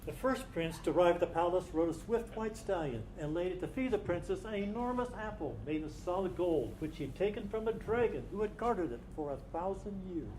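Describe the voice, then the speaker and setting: A man with a notably high voice tells a story as part of a staged reading. There is faint noise in the live recording. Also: animated, a little fast, few pauses, a little mellow, low-quality recording. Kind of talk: dramatized literary storytelling.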